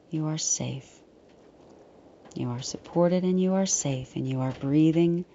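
Female meditation guide, low and mellow, soft breathy near-whisper, reassuring and unhurried. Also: muffled recording, a little hiss or hum. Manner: soothing, unhurried, gently reassuring.

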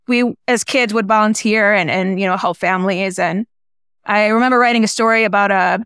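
Reflective woman, smooth and dry. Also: mid-range voice, a bit bright, dry recording. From United States, small-town Illinois. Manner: reflective, halting with many restarts and fillers. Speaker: filmmaker guest. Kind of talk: casual interview conversation.

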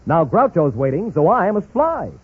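A man's voice: high voice, sing-song, moderate pace, husky. A man delivering a rhymed old-time radio commercial, on a tinny vintage recording. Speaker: advertisement pitchman.

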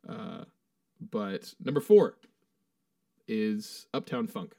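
A man's voice: fairly low pitch, sing-song, extremely slow, dark tone, dry recording. Man, podcast co-host, casual, profane, a bit far from the mic, dry room. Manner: loose, swears, reads out a ranked list. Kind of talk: casual chat.

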